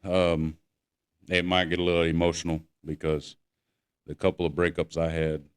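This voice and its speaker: A man's voice: low voice, little pitch movement, normal speed, clean tone. A man chatting casually as a podcast co-host, laid-back and full of slang. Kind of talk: casual chat.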